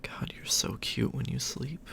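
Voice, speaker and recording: A man's voice: unhurried, a bit bright. A man doing affectionate ASMR bedtime storytelling as a boyfriend, in a soothing manner.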